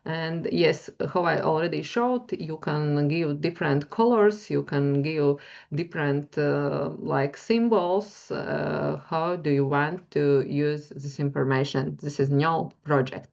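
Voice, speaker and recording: Latvian-accented woman lecturer, slow and halting with frequent fillers, on dull lo-fi audio. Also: sits low, creaky, darkish tone. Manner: hesitant, many fillers, searching for words. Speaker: university lecturer. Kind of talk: webinar presentation, screen-share demo.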